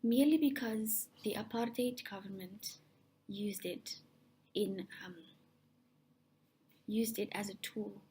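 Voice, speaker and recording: A young woman from South Africa whose first language is Afrikaans, answering interview questions in a thoughtful, soft and breathy way with frequent restarts. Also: mid-range voice, fairly even intonation, takes it slowly, long pauses, a little hiss or hum, low-quality recording.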